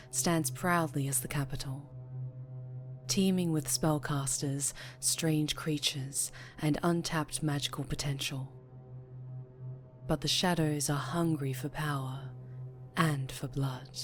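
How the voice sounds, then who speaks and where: A woman storyteller giving scripted fantasy ASMR narration in a hushed, measured, dramatic way. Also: low-pitched.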